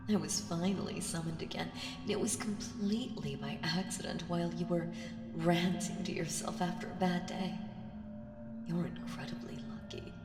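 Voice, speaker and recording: A woman voicing an ancient female evil spirit in an ASMR audio roleplay, seductive, teasing and condescending, with breathy half-whispered delivery. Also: on the low side, fairly even intonation, moderate pace, lightly acted. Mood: intimate, menacing. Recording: echoey reverberant voice over music. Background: music.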